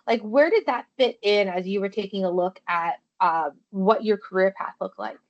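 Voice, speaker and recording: A woman's voice: medium pitch, moderate pace. A woman hosting a podcast interview, asking questions in a loose, friendly way with many fillers. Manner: friendly, loose phrasing with "you know" and "like". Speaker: podcast host. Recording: dull, lo-fi sound.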